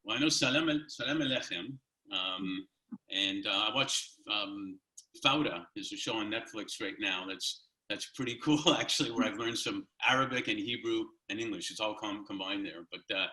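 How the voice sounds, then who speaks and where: A man hosting a panel in casual chat, with an informal, halting delivery. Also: lowish voice, sharp tone. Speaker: host, panel moderator. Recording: roomy, echoing online call audio.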